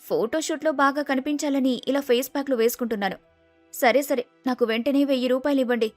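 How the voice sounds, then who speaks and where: An excitable, vain young bride-to-be played by a woman in a storybook story. Her voice is very high, insistent and impatient, recorded dry with soft music underneath. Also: quick pace, no room sound, lightly acted. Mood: eager, demanding. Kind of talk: acted storybook dialogue.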